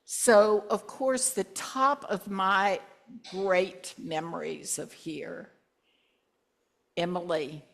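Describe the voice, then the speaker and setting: A woman in her sixties, a minister reminiscing to her congregation with gentle humor in a church. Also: lowish voice, fairly even intonation, vocal fry. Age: 60s. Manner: slow, warm-humored reminiscing with asides. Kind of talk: reflective sermon with congregation sharing. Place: church sanctuary.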